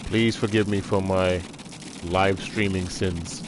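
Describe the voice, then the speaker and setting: A man's voice: deep voice, steady tone, slow, background noise. A man giving laid-back, joking commentary as he plays a game on a live stream. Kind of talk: casual live gameplay commentary.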